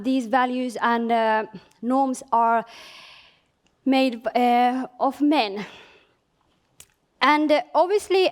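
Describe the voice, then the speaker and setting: A woman with a fairly high voice speaks at a conference. She was born in Somalia and grew up in Finland. She talks hesitantly, pausing on filler sounds and restarting sentences. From born in Somalia, raised in Finland. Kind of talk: conference presentation. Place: conference venue.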